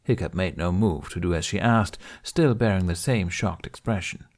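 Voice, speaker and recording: A man narrates an audiobook story from a script. His voice sits on the low side as he reads the prose. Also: normal speed, a little mellow.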